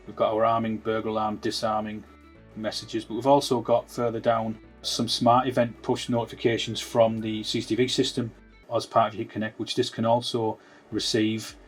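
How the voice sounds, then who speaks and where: Male tech tutorial presenter, informal and instructional, lowish pitch, flat delivery. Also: normal speed, slightly rough, soft background music. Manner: informal step-by-step explaining. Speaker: technical presenter. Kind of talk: product demonstration tutorial.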